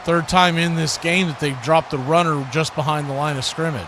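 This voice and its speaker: A man's voice: fairly high pitch, normal speed. A man doing live football play-by-play in a folksy way, chatting to viewers between plays. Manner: folksy, excitable on big plays. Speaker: radio play-by-play announcer. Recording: clear background noise.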